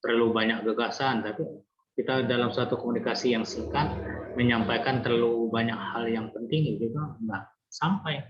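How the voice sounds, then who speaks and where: A man giving an online nursing lecture in a relaxed, conversational way, frequent fillers and restarts. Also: mid-range voice. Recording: roomy, dull lo-fi lecture recording.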